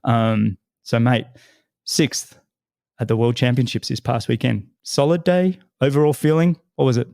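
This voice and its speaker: A middle-aged man hosting an interview podcast, slightly raspy from a head cold, friendly and calling his guest "mate". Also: mid-range voice, steady tone, normal speed, a little mellow, no room sound, studio quality. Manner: friendly, says "mate" often. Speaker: podcast host.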